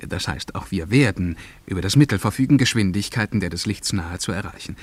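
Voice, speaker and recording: A solemn visitor from the far future in a radio drama, about forty. His voice is low, and he declaims with measured, ceremonious grandeur. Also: dry recording. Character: forty-year-old male time traveller from the far future. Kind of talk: German radio play acting.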